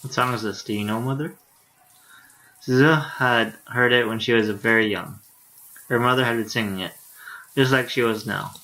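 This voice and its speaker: A man's voice: medium pitch, normal speed, pauses often, bright, forceful tone, poor audio. A tired male streamer reading a visual novel aloud in a laid-back way, with casual asides. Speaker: live streamer.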